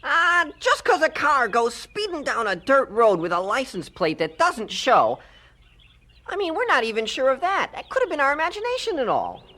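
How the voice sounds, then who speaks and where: Woman as an excitable, high-voiced country boy. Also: moderate pace, exaggerated voice. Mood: curious. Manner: animated, exclamatory, bickering. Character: excitable, argumentative country boy, quick to blame. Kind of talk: 1970s radio drama acting.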